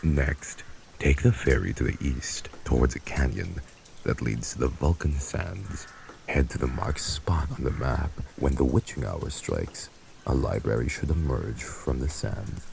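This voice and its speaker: A man playing a heartless, commanding vampire mafia boss in an ASMR audio drama, gravelly and speaking in a formal, grave, measured manner. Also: very deep voice, very animated, darkish tone, slight background noise, heavily acted, poor audio. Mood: stern, commanding.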